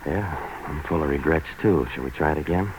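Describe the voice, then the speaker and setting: Very deep-voiced, deadpan hardboiled fixer. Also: male voice, brisk. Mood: dry, flirting. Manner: deadpan, sardonic, terse. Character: tough, cynical male waterfront fixer, wisecracking narrator. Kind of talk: 1940s hardboiled radio drama narration. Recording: noisy vintage 1940s radio broadcast.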